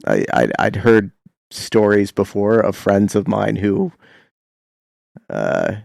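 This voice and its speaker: Canadian male co-host, gravelly low voice, reflective and halting casual talk, close dry sound. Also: very animated, a little mellow, no echo. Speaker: radio show co-host. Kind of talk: casual chat.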